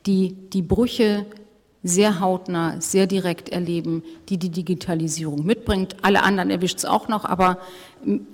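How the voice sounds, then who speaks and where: A woman moderating a live panel discussion on a conference stage, brisk and wry, hurrying speakers along. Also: lowish voice, fairly even intonation, a little mellow. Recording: reverberant hall.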